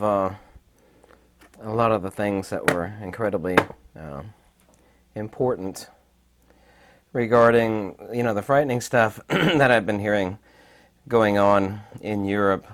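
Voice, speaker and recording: A man with a raspy, hoarse voice while recovering from illness, giving rambling, emphatic commentary with many fillers. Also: deep voice. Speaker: channel host. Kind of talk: casual commentary to camera.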